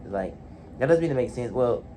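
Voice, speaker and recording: A man's voice: medium pitch, expressive, fairly quick, mellow, slight background noise. A man in his late teens talking alone in his bedroom, hesitant, full of fillers and restarts. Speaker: vlogger. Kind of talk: casual solo talk to camera.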